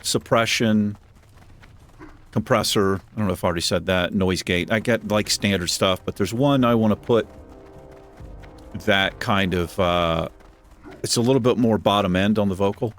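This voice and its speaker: Deep-voiced rambling gaming host. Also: male voice, normal speed, long pauses, fairly bright tone, soft background music. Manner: rambling, self-deprecating chatter. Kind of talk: casual gameplay commentary. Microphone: desk microphone.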